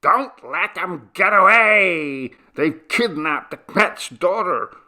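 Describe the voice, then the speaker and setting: A man voicing a hot-headed, furious villager who shouts aggressively in an audio story. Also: sits high, lively intonation, slow, voice pushed up, heavily acted. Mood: alarmed fury. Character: furious male villager raising the alarm, hot-headed avenger.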